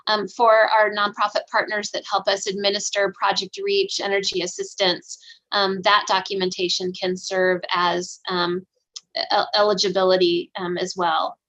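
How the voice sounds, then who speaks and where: Bright-voiced woman on a call. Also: medium pitch, flat delivery, moderate pace, poor audio. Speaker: colleague adding comments. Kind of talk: informal remarks on a conference call.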